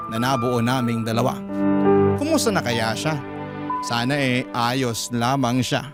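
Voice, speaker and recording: A man narrating a love story as host, in a gentle, sentimental and polite storytelling manner. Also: medium pitch. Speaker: radio drama host and narrator. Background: loud music underneath.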